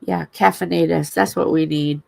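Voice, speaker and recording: A woman's voice: sits low, steady tone, moderate pace, gravelly, darkish tone, no room sound. A woman co-hosting a casual crafting live stream, tossing out dry, teasing jokes. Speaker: co-host.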